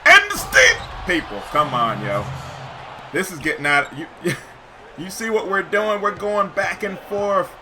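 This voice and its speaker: A man giving excited, slangy live commentary over basketball video game play, shouting at big plays, his voice getting hoarse. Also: sits high, unhurried, noisy background. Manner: slangy, says "yo" often. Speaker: gaming YouTuber.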